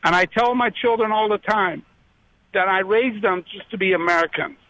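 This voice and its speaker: A man from Iran calling in to a radio show, speaking with excited, effusive gratitude. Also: high-pitched, normal speed, rough recording. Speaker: caller. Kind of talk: call-in radio conversation.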